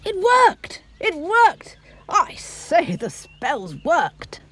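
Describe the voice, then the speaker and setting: A woman's voice: very high voice, wildly swooping pitch, extremely slow, a bit bright, exaggerated voice. A woman voicing an eager, bumbling little cartoon busybody girl, fussy and politely old-fashioned. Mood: excited. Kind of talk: fan-dubbed children's cartoon acting.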